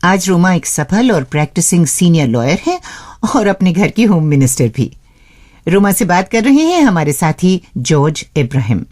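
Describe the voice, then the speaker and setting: Female radio host, lowish voice with lively, swooping delivery, scripted and addressed to listeners as friends. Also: moderate pace.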